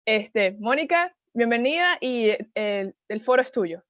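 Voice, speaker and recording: A woman's voice: a little high, a little slow, no echo. A woman moderating a webinar over a video call, steadily reading out a prepared guest introduction. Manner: steady, reads a prepared bio. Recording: dull dry video call audio.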